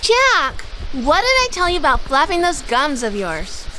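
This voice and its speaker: Woman playing a high, sassy noir lady detective. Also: huge pitch swings, measured pace, a crowd in the background, heavily acted. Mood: bossy, teasing. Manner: sardonic, scolding. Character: wisecracking female 1940s private eye, bossy, secretly lovestruck. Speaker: lead actor and narrator. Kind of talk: noir audio drama acting with narration.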